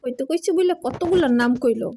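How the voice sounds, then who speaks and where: A woman acts out a touchy young wife from a comic cartoon. Her voice sits high, with a Bangladeshi accent, and she argues and nags readily. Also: fairly quick, warm tone, slight background noise. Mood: chatty. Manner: nagging, quarrelsome.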